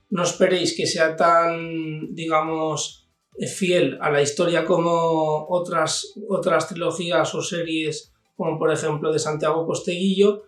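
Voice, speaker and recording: Higher-pitched Spanish man in an echoey room. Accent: Spanish from Spain. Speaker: book review channel host. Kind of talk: informal book review to camera. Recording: echoey room, distant mic, music behind.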